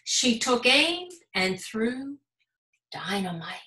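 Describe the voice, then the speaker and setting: A woman's voice: on the high side, very animated, slow, bright, forceful tone. A woman reading a children's storybook aloud expressively, like a school principal addressing young listeners. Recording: roomy echo.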